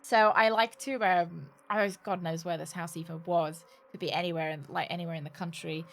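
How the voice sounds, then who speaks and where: A woman's voice: on the low side, fairly even intonation, brisk, creaky. A British woman livestreaming a game, chatting casually and jokily with sarcastic asides and some swearing. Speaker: game streamer. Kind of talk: casual livestream chat while playing a game.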